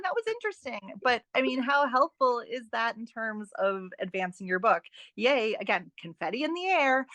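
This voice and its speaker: A woman guest in a conversational interview, giving advice in an animated, emphatic and encouraging way with playful asides. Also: mid-range voice, dry recording. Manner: animated, emphatic, repeats phrases for stress. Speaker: podcast guest, writing and editing expert.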